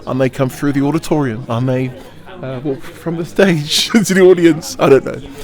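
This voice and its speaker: A middle-aged man who plays in pantomime answers interview questions in a bright, theatrical way. His voice sits a little above the usual male pitch, and he keeps cracking jokes and laughing as he talks. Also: fairly even intonation, moderate pace. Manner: jokey, laughing often, theatrical. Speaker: pantomime actor.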